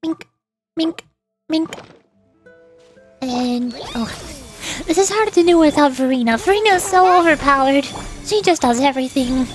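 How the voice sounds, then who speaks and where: A woman's voice: sits high, lively intonation, unhurried, long pauses. A woman livestreaming a video game, chatting casually in a playful, rambling way and muttering little asides to herself. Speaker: livestream host, VTuber playing a video game. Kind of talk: casual gaming stream chat. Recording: music behind the voice.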